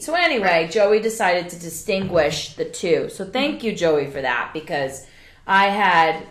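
A woman with a deep voice co-hosting a casual chat, telling jokey stories. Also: echoey room. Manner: chatty, jokey storyteller.

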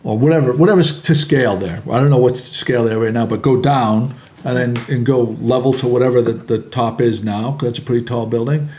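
A man's voice: medium pitch, fairly quick, darkish tone, a little hiss or hum. A man in casual local talk, opinionated and rambling, often restarting his sentences.

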